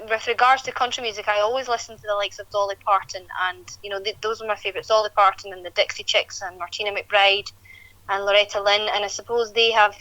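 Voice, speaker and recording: A woman's voice: medium pitch, pushed and bright, no echo, low-quality recording. A young woman, a country singer chatting at length in an interview, often restarting her sentences. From Scotland.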